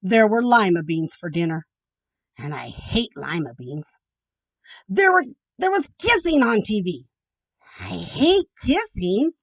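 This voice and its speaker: A woman reads a children's story aloud in an expressive, teacherly style. Her pitch moves widely as she leans dramatically into each complaint. The sound is close and dry, with very little room echo. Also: slow, long pauses. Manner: dramatic, exaggerated emphasis on complaints.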